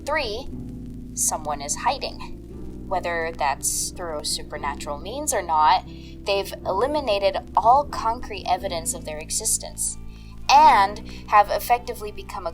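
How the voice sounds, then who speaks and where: A woman's voice: mid-range voice, lightly acted, poor audio. A woman playing an analytical, unflappable paranormal investigator in an audio drama, speaking in a methodical, precise way. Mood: measured, analytical. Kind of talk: audio drama dialogue, measured theorizing.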